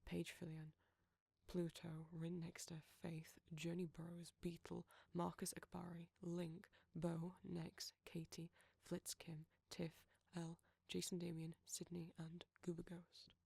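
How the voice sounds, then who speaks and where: Creaky, even-toned British creator. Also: medium pitch, takes it slowly, no room sound. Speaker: audio roleplay creator. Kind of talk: scripted outro, reading thanks and credits.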